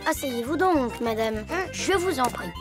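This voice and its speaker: A confident little cartoon boy with a high voice. He is inventive and sure of himself, announcing things grandly. Music is strong behind him. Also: expressive, unhurried. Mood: polite, instructive. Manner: self-assured, proclaiming. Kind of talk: children's cartoon dub acting.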